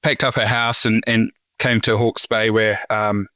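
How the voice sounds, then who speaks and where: A New Zealand man in his forties, a winemaker talking casually in an interview, halting with many fillers and restarts. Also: lowish voice, level tone, pushed and bright, no echo. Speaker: winemaker guest.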